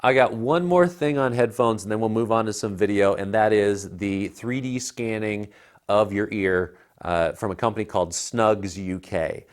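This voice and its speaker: A man's voice: on the low side, moderate pace. A man in his thirties giving a casual, emphatic and opinionated product review as a co-host. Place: living room by a fireplace, indoors. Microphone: lapel mic.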